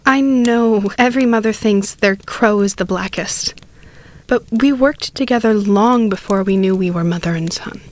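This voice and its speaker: A woman's voice: medium pitch, normal speed, close to an everyday voice. A woman playing a proud, persuasive mother urging her grown son on, in an audio drama.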